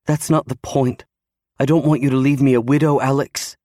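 A man's voice: mid-range voice, little pitch movement, normal speed, dark tone, dry recording, clean studio sound, voice pushed up, natural voice. A man voicing a distraught, pleading wife of twenty-nine in an audiobook, afraid of losing her husband. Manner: pleading, protesting. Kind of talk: audiobook character acting.

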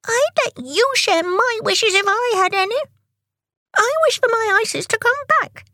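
A woman voicing an excitable, whiny, pleading young brother in a children's storybook. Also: very high voice, a little slow, dry recording, studio quality, voice pushed up, full character voice. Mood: angry.

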